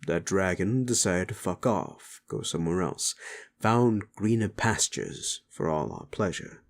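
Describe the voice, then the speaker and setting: A man playing a stern, weary keeper of an underworld club in an audio drama, measured, scolding and authoritative. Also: low-pitched, expressive, heavily acted. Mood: stern warning. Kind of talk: dramatic audio roleplay acting.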